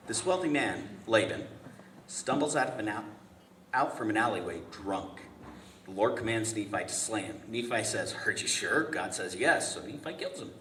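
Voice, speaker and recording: A man's voice: on the low side, lively intonation, moderate pace, bright, forceful tone. A man playing an insufferably pleasant, patient, earnest family man in a script table read, chatty and hesitant. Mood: explaining. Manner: hesitant, chatty, apologetic, stammering. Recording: echoey room from far off, noisy.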